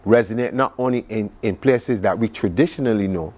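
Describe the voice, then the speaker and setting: A man's voice: on the low side, a little fast, darkish tone. A Barbadian man speaking conversationally as a television interview guest, recounting things in an enthusiastic, reflective way. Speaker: studio guest recounting a trip.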